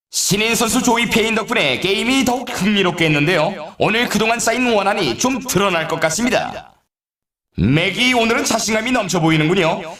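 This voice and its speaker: A showy snowboard race announcer calls the competition with eager, theatrical drama. His voice sits high and moves animatedly up and down. It rings with roomy echo, like scripted arcade game commentary. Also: a bit bright. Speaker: sports announcer. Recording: roomy echo, distant from mic.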